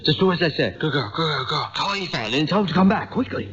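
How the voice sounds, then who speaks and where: A man's voice: fairly high pitch, expressive, quick pace, a loud crowd, lightly acted. An actor playing an anxious, hesitant married son who stammers as he soothes his family in a radio drama. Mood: urgent, secretive. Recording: muffled, noisy radio capture.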